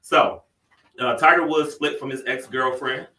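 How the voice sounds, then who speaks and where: Thirties male podcast host with a bright, punchy voice, dishing gossip in casual slang; recorded in a roomy studio away from the mic. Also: medium pitch, steady tone, measured pace, distant mic. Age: 30s. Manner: playful, slangy storyteller. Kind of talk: casual chat and celebrity gossip segment.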